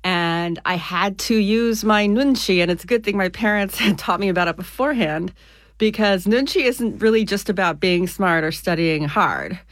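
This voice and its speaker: A woman from the United States, an author being interviewed on the radio, explaining ideas clearly with everyday examples. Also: mid-range voice, normal speed, no echo.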